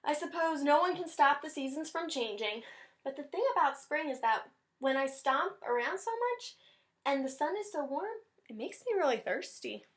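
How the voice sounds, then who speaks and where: A woman's voice: sits high, expressive, moderate pace, voice pushed up. A woman voicing a cranky, dramatic male rabbit from a children's picture book, with a complaining manner. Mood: resigned.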